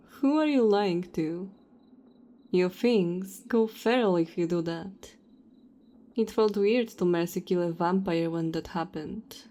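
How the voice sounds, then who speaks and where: A woman's voice: mid-range voice, mellow, lightly acted. A woman playing a cold, self-righteous vampire hunter in an audio roleplay, taunting and contemptuous. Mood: cold contempt.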